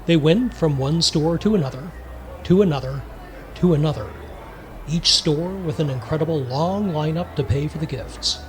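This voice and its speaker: A man's voice: fairly high pitch, normal speed, close to an everyday voice. A man playing the storybook narrator of a comic radio play, reading scripted narration. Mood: storytelling. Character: storybook narrator of a Christmas comedy.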